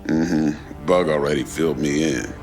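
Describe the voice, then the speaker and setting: Game crime fixer: very deep, gravelly man, smooth, slangy and in command, music underneath. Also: unhurried, darkish tone. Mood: smooth, businesslike. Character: big, slick, street-smart male crime fixer boss. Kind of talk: dramatic video game acting.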